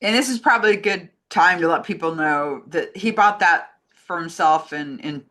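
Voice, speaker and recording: Bright-voiced woman co-hosting a film chat. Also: on the low side, normal speed. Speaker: co-host reading listener questions.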